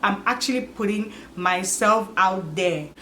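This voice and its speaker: A woman in her twenties talking candidly to her channel, musing aloud and filling pauses with "like" and "you get it?". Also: medium pitch, sharp tone, slight background noise. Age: 20s. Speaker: vlogger. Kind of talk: casual confessional vlog.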